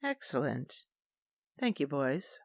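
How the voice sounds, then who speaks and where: A woman's voice: a bass voice, big pitch swings, slow, creaky, no echo, exaggerated voice. An actress playing a cold, vengeful female commander who narrates and plots in a space audio drama. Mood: commanding. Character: cold, scheming vengeful woman, former Sith commander. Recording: dry studio with music underneath.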